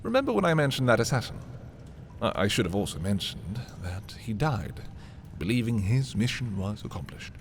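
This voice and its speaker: A man playing an arrogant, possessive young prince in a dark fantasy audio roleplay, haughty and theatrical in his delivery. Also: fairly low pitch, sing-song, moderate pace, a little hiss or hum. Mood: coolly menacing. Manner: haughty, dramatic, swinging from hushed to exclaiming. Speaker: voice performer. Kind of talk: dramatic roleplay audio, ASMR fantasy monologue.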